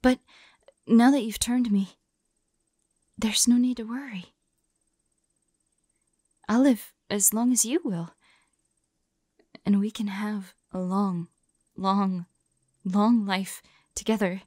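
A woman playing a vulnerable, devoted woman in an intimate audio roleplay, halting and often close to a whisper. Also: mid-range voice, fairly even intonation, dry recording. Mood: tender, devoted. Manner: soft, breathy, halting, often near whisper.

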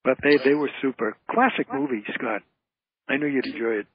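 A man's voice: medium pitch, fairly quick, husky. A man speaking as an interview guest, an entertainer who makes his points emphatically in orderly phrases. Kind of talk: conversational radio interview. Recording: narrow, tinny phone line.

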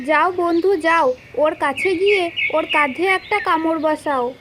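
A woman's voice: extremely high pitch, measured pace. A woman voicing a foolish, eager old crocodile, starving, in a children's cartoon story. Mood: eager, greedy. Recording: clearly noisy, birdsong behind.

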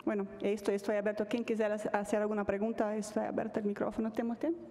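A woman wrapping up a conference talk at a podium, speaking haltingly and repeating words. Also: mid-range voice, little pitch movement, warm tone. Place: large conference hall stage. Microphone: podium mic. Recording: hall reverb.

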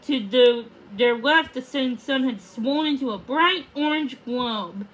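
A woman with a fairly high voice reads a children's book aloud. Her pace is slow and halting, with repeated words. Faint noise sits in the background. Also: rough recording. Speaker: storybook reader.

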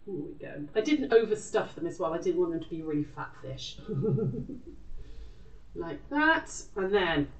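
A British woman hosts an informal craft lesson in a chatty, off-the-cuff way. Her voice sits a little low. It is picked up from a distance in an echoey room. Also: highs cut off, a little hiss or hum. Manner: chatty, informal, talks to self while working. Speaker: craft tutor and live show host. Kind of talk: casual live craft demonstration.

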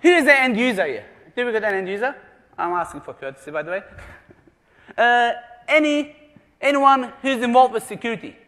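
A man's voice: very high-pitched, sing-song, fairly quick, pauses often, bright, forceful tone. A man from Germany giving a jokey conference presentation, quizzing the live audience with questions. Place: large conference room. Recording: long reverb of a large hall.

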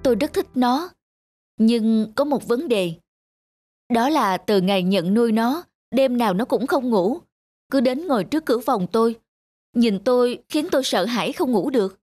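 A woman voicing a kind, anxious young female dog adopter in a cartoon, with an expressive, earnest delivery. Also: fairly high pitch, normal speed, faint music behind. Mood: worried. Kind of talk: animated cartoon dubbing.

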